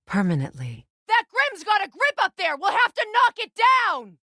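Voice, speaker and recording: Warrior goddess, video game dialogue, grave, composed, earnest, formal, dry studio sound. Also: female voice, extremely high pitch, wildly swooping pitch, normal speed, bright, forceful tone, no room sound, full character voice. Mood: urgent battle shouts. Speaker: video game character voice actress.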